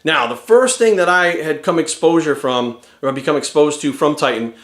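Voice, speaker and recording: A man in his forties giving an energetic, rambling testimonial to camera. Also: a little high, normal speed, roomy sound. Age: 40s.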